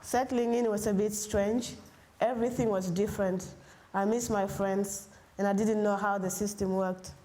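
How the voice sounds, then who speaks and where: A woman's voice: mid-range voice, fairly even intonation, normal speed, warm tone, rough recording. A young woman from Sudan with an East African accent, presenting her personal story. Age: young adult. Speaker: refugee student presenter.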